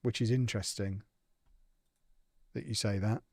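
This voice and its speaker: A man's voice: lowish voice, steady tone, unhurried, gravelly, darkish tone, dry recording, studio quality. A man streaming a football management game, chatting casually and reacting to viewer comments with amused indignation. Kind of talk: casual live-stream chat while playing.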